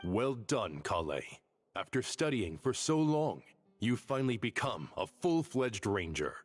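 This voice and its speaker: A man voicing a kind, caring male mentor in a video game, gentle and reassuring. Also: medium pitch, very animated, measured pace, soft background music. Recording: long reverberant tail.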